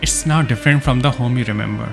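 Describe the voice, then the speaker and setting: A man's voice: mid-range voice, quick pace, creaky. A man with a mid-range voice is streaming a game. He reads the story text aloud, then breaks off into casual, chatty reactions. Music plays faintly behind him.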